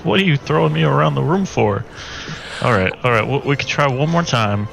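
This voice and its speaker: A man's voice: mid-range voice, expressive, fairly quick, vocal fry, a bit bright, soft background music, voice pushed up, low-quality recording. A man playing a grumbling but good-hearted spaceship pilot in a tabletop game, speaking informally. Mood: exasperated.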